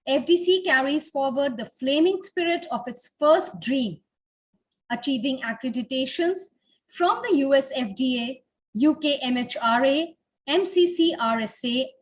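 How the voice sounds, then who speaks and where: A woman's voice: sits high, lively intonation, measured pace. A woman hosting a webinar, reading a scripted introduction in a formal, ceremonious manner. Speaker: webinar host.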